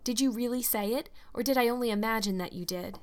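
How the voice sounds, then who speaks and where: An eager, dreamy eleven-year-old orphan girl, as a woman puts her on in an audiobook, with a raised, girlish voice. She speaks breathlessly and in a hush. Her words tumble out eagerly. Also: a little high, quick pace, lightly acted. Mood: breathless hope. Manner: breathless, hopeful whisper.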